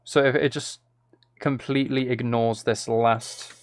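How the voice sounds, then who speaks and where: A man's voice: medium pitch, steady tone, unhurried, slight background noise. A man streaming casually while making music, thinking aloud to himself and often trailing off mid-thought. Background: synth and drum loops from music software, clicking.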